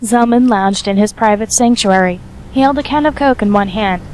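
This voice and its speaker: A woman's voice: mid-range voice, moderate pace, a little mellow, poor audio. A woman reading scripted story narration as the narrator of an audio drama.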